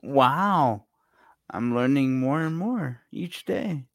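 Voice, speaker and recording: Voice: mid-range voice, animated, takes it slowly, creaky, no room sound, studio quality. A co-host in casual, teasing banter.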